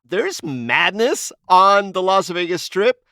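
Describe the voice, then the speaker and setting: A man's voice: high-pitched, very animated, takes it slowly, pushed and bright, no echo, clean studio sound. A man co-hosting a news roundup podcast, moving between scripted intros and conversation. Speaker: podcast co-host.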